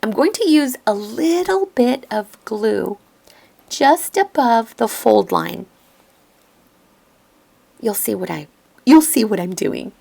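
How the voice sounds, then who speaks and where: A woman narrates a crafting voice-over at an unhurried pace, stopping now and then to think aloud. Her voice is raspy and a little hoarse from a lingering cough, with a gravelly edge. She explains each step in a gentle, patient way. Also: fairly high pitch, big pitch swings. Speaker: crafting tutorial host. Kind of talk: calm instructional voiceover. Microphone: voice-over recorded apart from the video.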